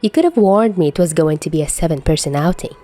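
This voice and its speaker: A woman's voice: sits low, animated, fast, dark tone. A woman playing a teasing, nonchalant young man in a story, with a playfully dramatic manner.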